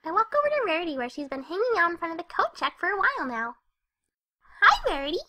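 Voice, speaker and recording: A woman's voice: very high voice, very animated, quick pace, sharp tone, exaggerated voice. A woman voicing a hyper, cheerful young female cartoon pony who narrates her story in a chatty, scatterbrained way. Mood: excited, chatty. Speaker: first-person narrator of a fan fiction reading. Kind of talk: animated character narration.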